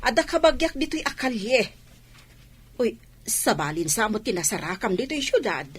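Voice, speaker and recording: A woman's voice: on the high side, sing-song, normal speed, husky. An actress playing a nosy older village woman in a radio drama, with an Ilocano accent. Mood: prying.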